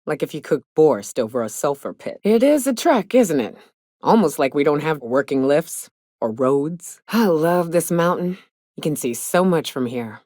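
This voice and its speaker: An actress playing a blunt, cynical frontier huntress and guide in a video game, with a wry, plainspoken, folksy way of talking. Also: female voice, sits low, animated, normal speed, darkish tone, no room sound, studio quality. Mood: dry, fond. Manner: wry, plainspoken, swearing, folksy rustic phrasing. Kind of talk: video game character dialogue.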